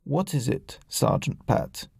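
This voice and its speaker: A performer voicing a calm, patient diplomat in an audio story, explaining things in a measured way. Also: medium pitch, vocal fry, breathy edge, dry recording, clean studio sound, full character voice. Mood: inquiring.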